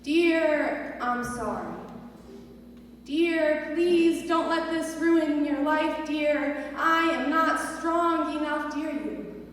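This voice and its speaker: A young woman performing slam poetry with an emotional, deliberate, dramatic delivery. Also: sits high. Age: young adult. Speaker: slam poet. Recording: echoey hall from a distance, faint noise.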